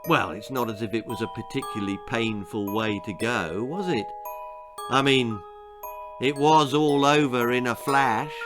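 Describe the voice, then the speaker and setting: A man's voice: mid-range voice, unhurried. A British man narrating a children's storybook in a dramatic, theatrical and drily comic manner. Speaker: author and storyteller. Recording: music behind the voice.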